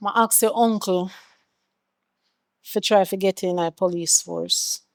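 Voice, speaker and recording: A woman's voice: medium pitch, steady tone, unhurried, vocal fry. An actress playing a stern, exasperated middle-aged mother with a Jamaican accent, scolding and confronting her grown son with pointed questions.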